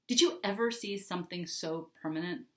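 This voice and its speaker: A woman's voice: lowish voice, fairly quick, close to an everyday voice. A woman voicing a stubborn, pleading young girl with an argumentative, emphatic way of talking, in a story reading. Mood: scornful, defiant. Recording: roomy, echoing indoor sound.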